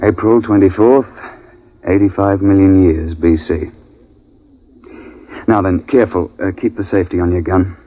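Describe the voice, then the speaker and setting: An actor playing a brisk, commanding British big-game guide who tells his story in 1950s radio drama style, clipped and authoritative. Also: deep voice, fairly even intonation. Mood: calm explaining. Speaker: narrator and safari leader. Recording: dull vintage 1950s radio broadcast.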